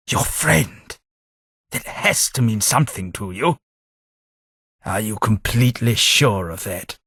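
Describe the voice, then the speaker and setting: Game role: a wry, dying ghoul gentleman; low, unhurried, formal delivery. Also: slightly rough, dry recording, studio quality, heavily acted. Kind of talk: game voice acting.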